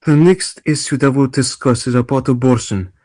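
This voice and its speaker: A formal, deliberate man , acted by a man, moderates a presidential debate in a stage play. His voice sits at a middle pitch as he puts measured questions, now and then repeating a word. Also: mellow, close to an everyday voice. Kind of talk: amateur table read of a stage play.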